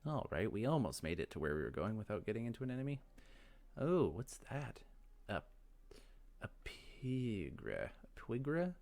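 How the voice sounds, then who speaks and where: A man's voice: fairly low pitch, sing-song, moderate pace, vocal fry, a little mellow. A man giving casual video game commentary, rambling and musing aloud as he thinks things through. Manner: rambling, musing, talks to himself. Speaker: let's play host.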